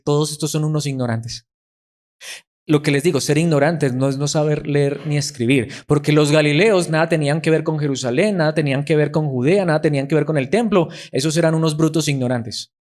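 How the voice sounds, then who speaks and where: A man's voice: a little high, a little mellow. A male pastor preaching a sermon, acting out quoted voices and asking the congregation rhetorical questions.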